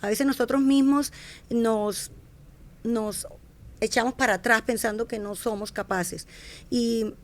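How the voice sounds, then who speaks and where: Animated higher-voiced woman. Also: a little slow, long pauses. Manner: animated, warm storyteller, acts out quoted speech, restarts and corrects herself. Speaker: podcast guest, fashion entrepreneur. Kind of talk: casual interview storytelling.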